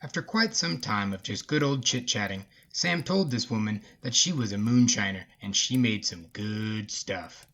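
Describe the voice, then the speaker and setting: A man's voice: on the low side, animated, normal speed, hardly any pauses, fairly bright tone. A man narrating a scripted folksy story as the narrator of an audio drama.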